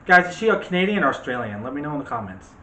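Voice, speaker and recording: A man's voice: mid-range voice, sing-song, rapid-fire, sharp tone. Male host for casual movie talk, rambling and self-correcting, recorded in a reverberant room. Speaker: host of a film discussion show. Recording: roomy echo with faint background noise.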